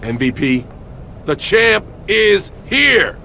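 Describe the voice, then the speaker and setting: A man's voice: sits high, big pitch swings, takes it slowly, creaky, over loud music, heavily acted. A man playing a cocky, defiant champion wrestler, punchy and wisecracking in a game cutscene, with noise behind him.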